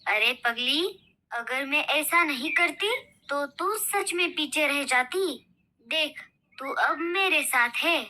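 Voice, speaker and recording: Woman as bright, high-pitched sly auntie bird. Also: lively intonation, slow pace, full character voice. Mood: scolding, sly. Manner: scolding, teasing. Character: bossy, sly, greedy aunt bird. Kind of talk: children's cartoon voice acting. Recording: noticeable background noise.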